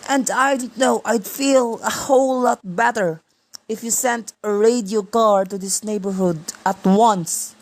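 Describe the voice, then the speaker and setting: A woman's voice: on the high side, a little slow, a little mellow, rough recording. A woman playing an anxious, demanding bedridden wife on the telephone to police in a radio play, fretful and insistent.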